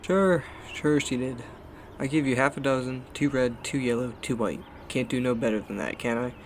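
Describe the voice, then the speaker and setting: A man's voice: mid-range voice, moderate pace, a little hiss or hum, lightly acted. Man playing a chatty old flower vendor. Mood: salesman patter. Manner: folksy, familiar, advice-giving salesman patter.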